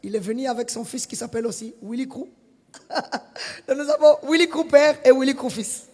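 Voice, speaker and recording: A man's voice: very high-pitched, normal speed, darkish tone. A man introducing a guest preacher at a church crusade, exhorting the congregation with emphasis and some hesitation. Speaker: pastor introducing the guest speaker. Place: large church hall. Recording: long church hall reverb.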